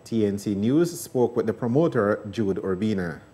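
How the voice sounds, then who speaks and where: A middle-aged man reading the news in a measured, formal way, as anchor and interviewer. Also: lowish voice, animated, mellow.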